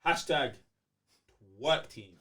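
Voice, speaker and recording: A man's voice: a little high, slow pace, bright, forceful tone. A London-accented man co-hosting a gaming podcast with slangy, emphatic banter, often repeating his phrases. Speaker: podcast co-host.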